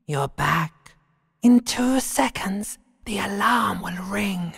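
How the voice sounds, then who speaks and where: An eerie inner voice from a video game, the weary, menacing murmur of a nervous system. It sits unnaturally high and drags out each phrase with breathy, theatrical doom. It echoes in a long cavernous reverb. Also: male voice, animated, a bit bright, exaggerated voice. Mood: grim, hushed. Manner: slow, breathy, dramatic, ominous.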